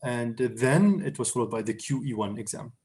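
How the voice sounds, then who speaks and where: A young man from Syria answers questions as an interview guest. His voice sits in an ordinary middle pitch. He talks casually over a video call, full of fillers and restarts. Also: moderate pace, a little mellow. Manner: hesitant, many fillers and restarts. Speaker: interview guest, surgery resident.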